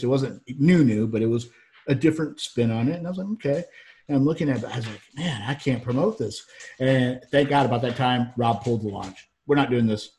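A man with a faint Southern drawl talks in a loose, rambling way, often restarting his sentences. His voice sits at an ordinary middle pitch, and he chats casually as a co-host. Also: a little hiss or hum. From Georgia, US. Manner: rambling, animated, frequent restarts. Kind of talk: casual chat.

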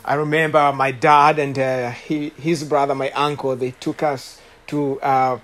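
A man in his late thirties with a Rwandan accent gives a guest sermon. He speaks in a mid-range voice, halting often with fillers and restarts as he shares his testimony. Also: medium pitch, level tone, fairly bright tone. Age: late 30s. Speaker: guest preacher.

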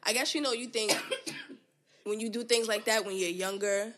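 A woman's voice: fairly high pitch, steady tone, moderate pace, noisy background. A young woman co-hosting a casual podcast chat, joking and laughing often. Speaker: podcast co-host.

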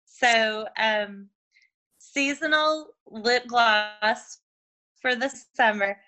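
A woman in her forties from Oklahoma, chatting casually as a guest over a video call, full of "like" fillers and quick laughs. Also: on the high side, pushed and bright, poor audio. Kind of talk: casual chat.

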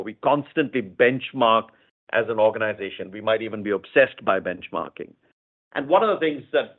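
A man speaking as a company executive, giving measured, explanatory answers in a semi-formal earnings call style, often repeating phrases. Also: medium pitch. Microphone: conference call line. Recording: narrow tinny phone-line sound.

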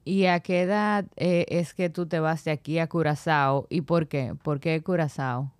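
A woman's voice: sits low, steady tone, a little slow, no room sound. A woman with a Dominican accent hosting a podcast, upbeat and enthusiastic. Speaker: podcast host. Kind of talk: podcast interview.

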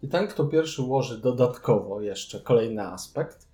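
A man's voice: mid-range voice, expressive, normal speed, mellow, reverberant, faint music behind. A man co-hosting a board game podcast, chatting casually and adding his own comments. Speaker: podcast co-host.

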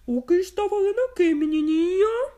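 A woman's voice: very high voice, brisk, warm tone, voice pushed up. A woman voicing a sly male wolf in a children's storybook reading, coaxing in a faked sweet voice.

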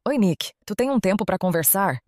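A woman's voice: medium pitch, lively intonation, a little fast, a little mellow, no room sound, clean studio sound, lightly acted. A woman with a Brazilian accent playing a determined, direct teenage schoolgirl in an audio drama. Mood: determined, casual. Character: determined teenage schoolgirl running her own party.

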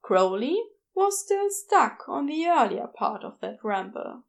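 A woman's voice: a little high, sing-song, slow pace, creaky. A woman reading scripted fiction as an audiobook narrator.

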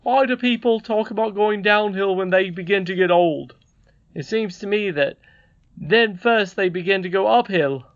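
A kindly, tired old miner father from a storybook, acted out by a reader. The voice is lifted in pitch, gentle and musing, on a slightly muffled recording. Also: moderate pace, lightly acted. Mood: fond, weary. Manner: gentle, reflective.

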